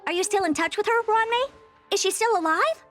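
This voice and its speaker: A woman's voice: very high voice, brisk, a bit bright, soft background music. A woman voicing a brash, haughty young female genius scientist in a video game, blunt and self-assured. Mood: dismissive, brisk.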